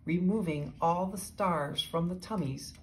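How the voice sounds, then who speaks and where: A woman's voice: fairly low pitch, a little slow. Woman reading a children's story, faint noise. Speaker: storybook reader. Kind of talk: expressive children's story read-aloud in rhyme.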